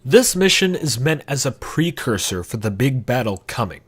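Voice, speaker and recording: A man's voice: mid-range voice, big pitch swings, moderate pace, fairly bright tone, slightly rough. A man narrating a scripted video essay about games in an emphatic, conversational way with sarcastic asides.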